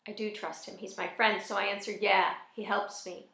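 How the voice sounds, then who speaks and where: A woman's voice: medium pitch, little pitch movement, normal speed, vocal fry, fairly bright tone. A woman voicing a naive, anxious male character in a novel, with a simple and sincere way of speaking. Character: naive, earnest, anxious male misfit narrator. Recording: roomy, echoing space.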